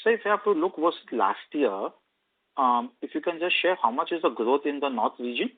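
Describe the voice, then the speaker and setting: A man's voice: on the high side, animated, brisk. A man with an Indian accent politely putting questions as an analyst on an earnings call. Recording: narrow telephone conference line.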